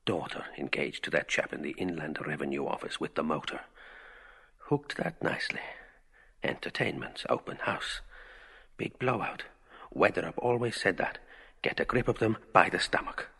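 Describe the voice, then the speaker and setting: A man's voice: a bass voice, moderate pace, gravelly, pushed and bright, slightly rough, exaggerated voice. A man voicing a wry, mild-mannered man musing in clipped fragments, as interior monologue in a literary audiobook, with hall-like reverb.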